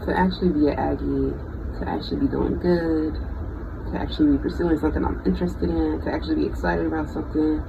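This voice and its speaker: A thirty-year-old vlogger speaks in a mid-pitched voice with plenty of fry. The talk is casual, reflective and unscripted, halting as it finds its way. The audio is dull and lo-fi, with clear vehicle-like noise behind it. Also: steady tone. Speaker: bioengineering student. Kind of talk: casual unscripted personal vlog.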